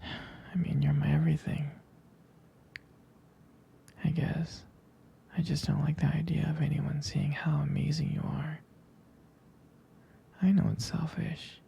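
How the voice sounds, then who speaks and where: A man playing a jealous, protective and doting boyfriend in an intimate roleplay ASMR, speaking softly and hesitantly in whispers. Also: fairly high pitch, completely flat delivery, unhurried, vocal fry, long pauses, husky, heavily acted. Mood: devoted, pleading.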